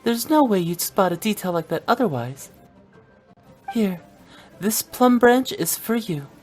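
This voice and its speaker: Actress as a playful, teasing young funeral director; lively melodic delivery, soft music under. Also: female voice, medium pitch, unhurried, lightly acted. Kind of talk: video game dialogue. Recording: game audio with faint music.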